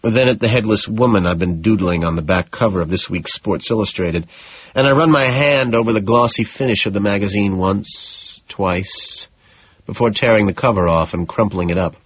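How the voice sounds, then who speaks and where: A man's voice: lowish voice, moderate pace, husky. A man narrating a novel in the first person as a scripted audiobook. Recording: dull, echoey room sound.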